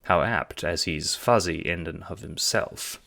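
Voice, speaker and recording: A man's voice: deep voice, a little slow, vocal fry, bright, forceful tone. A man narrating a scripted story in the second person, in the style of an audio drama or audiobook. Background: music.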